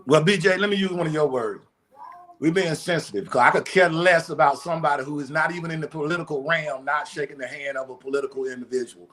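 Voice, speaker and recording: A man on a podcast, making his point in a measured, deliberate way in casual discussion. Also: on the high side, fairly bright tone. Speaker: podcast co-host.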